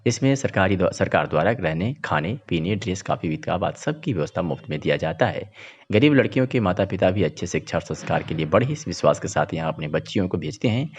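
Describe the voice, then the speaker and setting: A man's voice: sits low, expressive, fast talker, slightly rough. A man reads scripted regional news as a reporter. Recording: dull, muffled voice-over.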